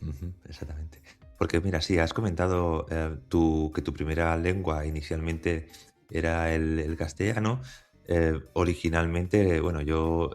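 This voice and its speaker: A man's voice: very deep voice, level tone, a little slow, darkish tone, faint music behind. A man hosting a conversational podcast interview, talking with many hesitations and restarts. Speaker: podcast host.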